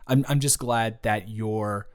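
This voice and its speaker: A man playing an awkward, considerate ex-boyfriend in an improvised audio roleplay, speaking conversationally in a halting, stammering way. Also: on the low side, steady tone, darkish tone, smooth. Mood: earnest, caring. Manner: hesitant, halting, stammering, with nervous chuckles. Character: awkward, considerate young ex-boyfriend, nervously teasing.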